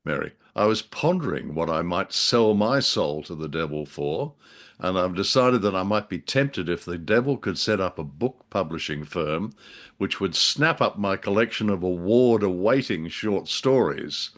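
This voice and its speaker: A man's voice: sits low, expressive, normal speed, fairly bright tone. A man co-hosting a casual, humorous podcast, talking in a droll, self-deprecating and hesitant way. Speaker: podcast co-host and writer.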